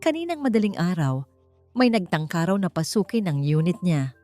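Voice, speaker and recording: A woman's voice: fairly low pitch, big pitch swings, normal speed, dark tone. Woman voicing a dutiful Filipino male assistant. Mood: worried. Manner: respectful, dutiful. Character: loyal, deferential male personal assistant. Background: background music.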